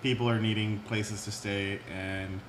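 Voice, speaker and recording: A man co-hosting a casual podcast, chiming in with quick remarks and opinions. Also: on the low side, flat delivery. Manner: quick interjecting quips. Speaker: podcast co-host. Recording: echoey room with background noise.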